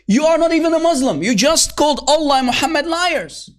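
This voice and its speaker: Man, high-pitched voice, scornful and combative, name-calling, talks to his viewers as guys and brother, at his computer. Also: expressive, fairly quick. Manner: heated, scornful, calls opponents names. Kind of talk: combative online debate commentary.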